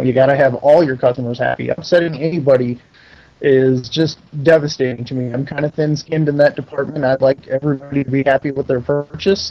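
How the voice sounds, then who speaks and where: A man's voice: mid-range voice, warm tone, highs cut off. A middle-aged man giving a casual interview as a guest, talking in a folksy, self-deprecating and enthusiastic way. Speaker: interview guest, pinball company owner.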